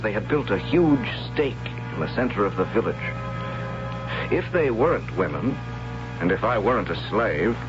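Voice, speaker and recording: An actor playing a gentle, dreamy young captive in a radio drama, speaking in a measured, reflective, questioning way. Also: male voice, fairly low pitch, lightly acted. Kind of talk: 1970s radio drama acting. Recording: dull lo-fi 1970s radio broadcast with music.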